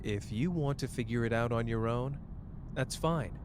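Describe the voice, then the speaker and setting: A man's voice: mid-range voice, moderate pace, noisy background, lightly acted. A man playing a cocky, caring young sorcerer in a roleplay ASMR drama, teasing and tender as the listener's partner. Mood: gentle, earnest.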